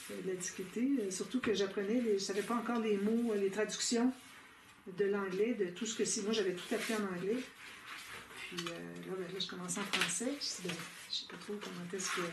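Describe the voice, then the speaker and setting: A woman's voice: mid-range voice, distant mic. A woman with a Québécois French accent hosting a casual scrapbooking tutorial, chatty and thinking aloud with frequent fillers. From Quebec, Canada. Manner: chatty, thinks aloud with many "euh" fillers and small laughs. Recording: roomy, off-mic, with loud background noise.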